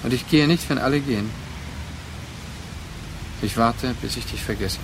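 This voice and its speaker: An actor in a radio drama playing a brooding, wounded man haunted by a former lover, terse, deliberate and reflective. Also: medium pitch, noisy background, close to an everyday voice. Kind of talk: introspective radio drama monologue and dialogue.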